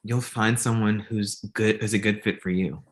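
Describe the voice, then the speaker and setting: A man's voice: fairly low pitch, steady tone, moderate pace, lightly acted. A man playing a self-assured, friendly young friend with an easygoing manner in a comedy table read. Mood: reassuring.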